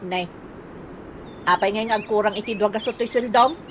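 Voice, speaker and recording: An actress playing a suspicious, nagging wife who questions incredulously in a radio comedy drama. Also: female voice, mid-range voice, moderate pace, a little hiss or hum, natural voice.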